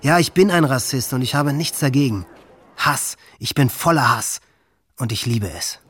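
A man's voice: medium pitch, animated, normal speed, a bit bright, slightly rough. An actor playing a hate-filled, resentful teenage boy in a German radio drama, bitter, boastful and profane. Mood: seething hatred. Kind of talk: German radio docudrama monologue.

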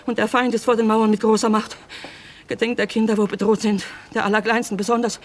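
A woman's voice: fairly high pitch, level tone, brisk, a little hiss or hum, close to an everyday voice. An actress playing a frightened peasant woman who pleads for her family in rustic speech, in a radio drama. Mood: urgent pleading. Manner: plain rustic dialect phrasing, imploring. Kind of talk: stage-like radio drama acting.

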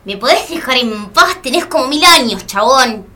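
Actress as a bright-voiced young Argentine student friend. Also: female voice, high-pitched, animated, normal speed. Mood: angry. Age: young adult. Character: sympathetic young female student, fed up with catcalls. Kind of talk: naturalistic radio drama dialogue.

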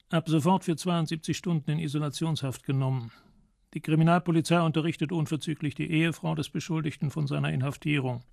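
An actor playing a stern, officious examining magistrate in a radio crime drama, speaking in formal bureaucratic language. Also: male voice, fairly high pitch, creaky, no echo, clean studio sound.

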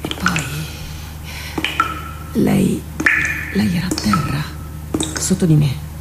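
A woman playing a tormented, haunted warrior captain in a radio drama, halting and brooding, repeating herself. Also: deep voice, huge pitch swings, vocal fry, loud music behind the voice, exaggerated voice. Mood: haunted, hushed. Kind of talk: theatrical radio drama acting. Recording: noisy radio drama recording with clicks.

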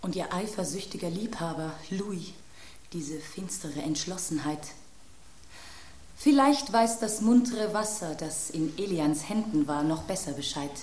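A woman's voice: fairly low pitch, unhurried, darkish tone, natural voice. An actress playing an anxious, poetic woman in a radio drama, theatrical and exclamatory. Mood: fearful suspense. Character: melodramatic, anxious, poetic woman at a tense dinner. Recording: roomy sound with hiss.

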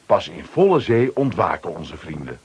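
A man's voice: deep voice, sing-song, moderate pace, darkish tone, breathy edge, dull audio. A man with a Flemish accent narrating a children's story in a dramatic scripted style.

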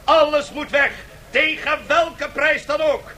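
A male actor playing a brash, mocking auctioneer in a radio play, with loud, theatrical market-barker patter. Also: very high-pitched, steady tone, slow pace, full character voice. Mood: mocking, hawking. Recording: faintly noisy 1970s radio drama tape.

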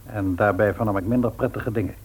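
An actor playing a grave older father, measured and formal, in a Dutch radio play. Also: lowish voice, steady tone, slightly rough. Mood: grave, restrained.

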